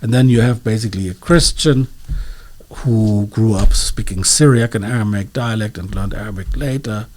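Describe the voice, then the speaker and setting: A man's voice: lowish voice, moderate pace, darkish tone, slight background noise. A man speaking as an expert interview guest, explaining in a hesitant, scholarly way and searching for his words.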